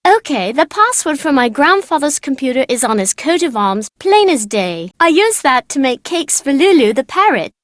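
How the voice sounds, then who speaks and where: A high, childlike voice for a bookish young girl in a video game. She is precocious and playful, with a matter-of-fact confidence. The sound is very dry and clean of any room. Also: big pitch swings, normal speed, few pauses, fairly bright tone, dry recording. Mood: chirpy. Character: bright, cheeky, bookish young girl who loves games. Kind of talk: video game character voice acting.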